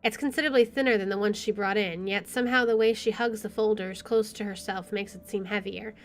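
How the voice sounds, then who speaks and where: A woman's voice: mid-range voice, fairly even intonation, a little fast. A young adult woman streaming a game, reading a visual novel aloud with casual commentary. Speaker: gaming streamer.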